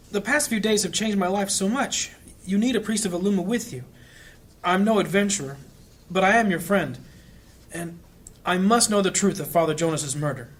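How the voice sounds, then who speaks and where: An actor playing a gentle, anxious priest, a reluctant adventurer weighed down by guilt, in a fantasy audio drama. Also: male voice, sits high.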